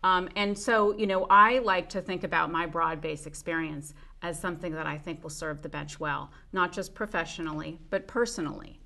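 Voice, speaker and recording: Earnest woman in her forties, lowish voice. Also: steady tone, vocal fry, sharp tone. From Puerto Rico and the United States. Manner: earnest, measured, with fillers. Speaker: judicial candidate. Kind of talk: studio interview answer. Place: small studio with dark curtains. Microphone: lapel mic.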